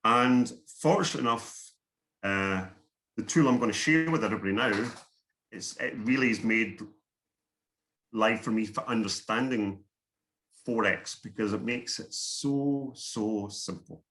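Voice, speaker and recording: A man's voice: fairly low pitch, measured pace, fairly bright tone, low-quality recording. A middle-aged man from Scotland with a Scottish accent, giving a workshop talk over a video call, earnest and often repeating his words. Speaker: guest speaker. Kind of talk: online workshop presentation.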